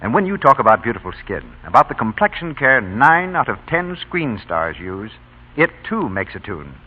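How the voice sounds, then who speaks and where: Casting: male old-time radio announcer, very animated sales delivery, tinny narrow broadcast sound. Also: mid-range voice, moderate pace, slightly rough. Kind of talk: scripted 1930s-40s radio commercial announcing.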